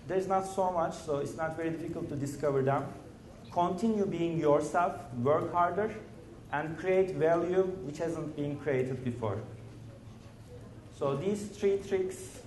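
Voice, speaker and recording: A man speaking as a startup founder on a conference panel, earnest and measured, often filling pauses with "you know" and "uh". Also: fairly high pitch, darkish tone, a little hiss or hum. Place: conference stage in a large hall. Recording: echoey conference hall.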